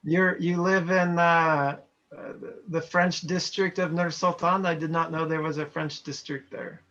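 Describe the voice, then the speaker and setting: Bright-voiced man on a lo-fi call. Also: a little high, level tone, measured pace. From Montana, USA. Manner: chatty, reads chat messages aloud and comments. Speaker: trainer. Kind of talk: casual chat with online participants. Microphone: video call. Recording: lo-fi video call audio.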